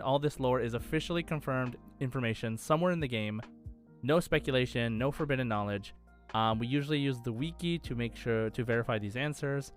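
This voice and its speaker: A man hosts a quiz podcast in a casual, conversational way. His voice sits at an average pitch, with light music playing underneath. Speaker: podcast host and quizmaster. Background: faint background music.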